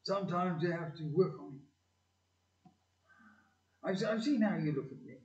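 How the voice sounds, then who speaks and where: Earnest, faltering dad for community audio drama, played by a man; higher-pitched voice, echoey room. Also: lively intonation. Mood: tender, earnest. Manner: hesitant, halting, earnest. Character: gruff, tender working-class father to a grown daughter. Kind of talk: amateur community audio play. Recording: distant, echoey, dull hall recording.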